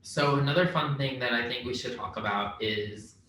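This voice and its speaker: Young man, bright voice. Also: medium pitch, normal speed. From Vietnam. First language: Vietnamese. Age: young adult. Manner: relaxed, rambling, laughs easily. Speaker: podcast co-host. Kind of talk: casual podcast chat. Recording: very echoey room, faint noise.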